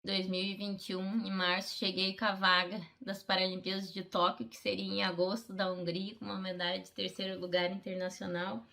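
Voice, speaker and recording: A woman's voice: medium pitch, flat delivery, brisk, creaky, few pauses, bright, forceful tone. A middle-aged woman, telling a personal story in a heartfelt, conversational way, as an athlete in a documentary interview. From Brazil. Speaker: Paralympic fencer, interviewee.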